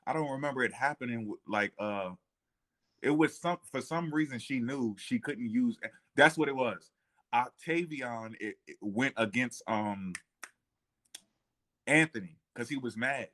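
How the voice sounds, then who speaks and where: A man with a medium-pitched voice speaks in a casual, unscripted conversation. His delivery is informal and halting, as he thinks aloud and corrects himself. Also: pushed and bright. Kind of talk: casual history discussion.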